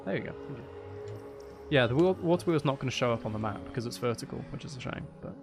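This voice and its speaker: A man with a noticeably creaky, mid-pitched voice hosts a gaming livestream. He rambles casually, thinking aloud as he plays and tossing in playful asides. Music plays clearly behind him. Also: expressive, quick pace. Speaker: livestream host.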